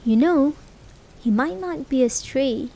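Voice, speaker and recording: A woman's voice: high voice, expressive, slow, vocal fry, mellow, exaggerated voice, rough recording. A woman acting a caring, worried, hesitant partner in a realistic slice-of-life ASMR roleplay. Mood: mildly wary. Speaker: amateur voice actor. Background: rain sound effects.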